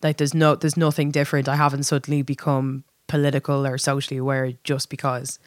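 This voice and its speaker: A woman's voice: a bass voice, level tone, fairly quick, no echo. Irish woman in a dry, close recording. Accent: north Dublin Irish. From Dublin, Ireland. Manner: candid, hesitant phrasing with repeated words. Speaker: band singer being interviewed. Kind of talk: casual reflective interview chat.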